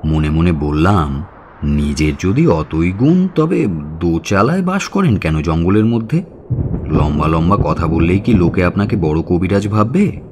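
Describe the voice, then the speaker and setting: An actor playing a sceptical, educated villager who narrates a Bengali audio story in an expressive, wry way, with a Bengali accent. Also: male voice, low-pitched, unhurried, background noise.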